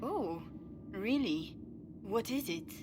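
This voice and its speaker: An actress playing a meek, reverent religious sister in a video game cutscene, hesitant and deferential. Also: female voice, mid-range voice, expressive, takes it slowly. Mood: curious. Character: meek, reverent chantry sister, adult woman. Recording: noisy ambience behind the voice.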